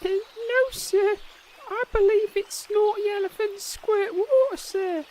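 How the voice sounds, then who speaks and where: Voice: extremely high pitch, takes it slowly, a little mellow, a little hiss or hum. A performer playing a meek, long-suffering young squire in a comedy fantasy sketch, deferential and hesitant while politely contradicting. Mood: patient.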